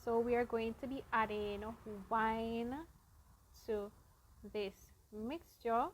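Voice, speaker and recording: A woman hosting a casual cooking vlog, explaining each baking step to her viewers in an unhurried way. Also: fairly high pitch, level tone, a little hiss or hum. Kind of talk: casual baking demonstration narration.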